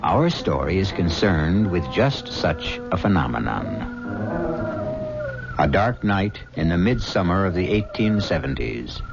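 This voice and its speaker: Deep voiced older guy narrating old radio mystery, grave and theatrical, lo-fi with music behind. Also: big pitch swings, a little slow, gravelly. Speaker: radio drama host and narrator.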